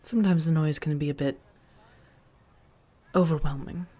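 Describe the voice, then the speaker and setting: Woman playing a low, soft-spoken female vampire. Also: steady tone. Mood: gentle, amused. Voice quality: soft, breathy whisper at times. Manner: measured, halting, pausing between phrases. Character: protective, secretive female vampire roommate, worldly and wry. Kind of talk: soft-spoken ASMR roleplay. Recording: music under the voice.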